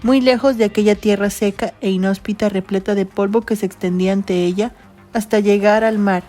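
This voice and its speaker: Female narrator for a sci-fi audio drama, mid-pitched, slightly muted tone, faint music underneath. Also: fairly even intonation, fairly quick, dark tone. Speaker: narrator of a science fiction audio story. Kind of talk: scripted literary narration.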